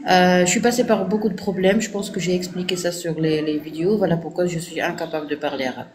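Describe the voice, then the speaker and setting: A woman's voice: on the low side, steady tone, fast talker, a little mellow, a little hiss or hum. A woman speaking French with an Arabic accent, Arabic as her first language, answering viewers in a firm, didactic live stream and checking agreement with "ok?". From Morocco. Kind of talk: casual live-stream talk.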